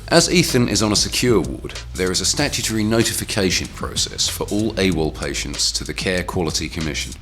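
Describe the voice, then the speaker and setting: Deep-voiced formal man narrating. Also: expressive, a bit bright, faint music behind. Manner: formal, measured, authoritative. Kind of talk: scripted training film narration.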